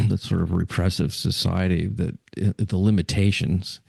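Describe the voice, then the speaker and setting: A man's voice: low-pitched, flat delivery, moderate pace, gravelly, a little mellow, husky, dry recording, clean studio sound. A man hosting a podcast, asking his guest curious questions that halt and repeat. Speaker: podcast host. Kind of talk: interviewing a guest.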